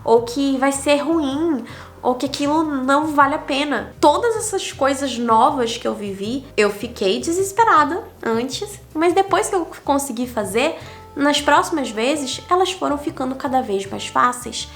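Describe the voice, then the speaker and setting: A woman's voice: sits high, lively intonation, unhurried, fairly bright tone, faint music behind. A young Brazilian woman with a Brazilian Portuguese accent, talking candidly in a casual vlog and counting off numbered lessons. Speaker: digital nomad vlogger. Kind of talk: casual reflective vlog monologue.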